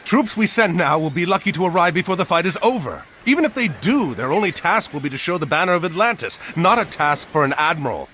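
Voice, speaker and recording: An actor playing a proud, battle-weary admiral hero in a mythological video game, firm, dignified and commanding. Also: male voice, on the high side, sing-song, fast talker, few pauses, bright, forceful tone, a little hiss or hum. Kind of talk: video game cutscene acting.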